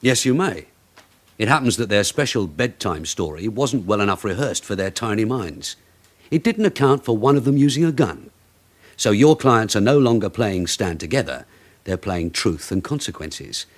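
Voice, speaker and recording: An actor playing a blunt, shrewd British police detective sergeant in a radio drama, brisk, commanding and sardonic. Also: male voice, fairly low pitch, expressive. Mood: sardonic, firm.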